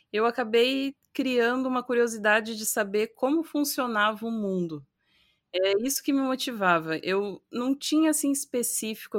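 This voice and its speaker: A woman's voice: on the high side, no echo, clean studio sound. Brazilian woman with a light southern accent. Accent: light gaúcho accent mixed with Porto Alegre. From southern Brazil, Rio Grande do Sul countryside. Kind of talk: casual conversation.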